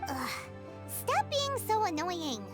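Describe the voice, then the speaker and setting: Game sidekick fairy: childlike, extremely high, slow and dramatic, blunt and excitable. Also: female voice, full character voice. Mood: annoyed. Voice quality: squeaky, childlike. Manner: exclamatory, dramatic, refers to self in third person. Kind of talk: video game character dialogue. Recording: studio voice over game music.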